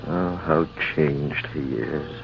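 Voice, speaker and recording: A man acting a loyal, sorrowful friend with a solemn, measured delivery in an old-time radio drama. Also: a bass voice, fairly even intonation, vocal fry, heavily acted. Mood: mournful. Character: sorrowful loyal friend of a captain, recounting tragedy. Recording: muffled, noisy old radio recording.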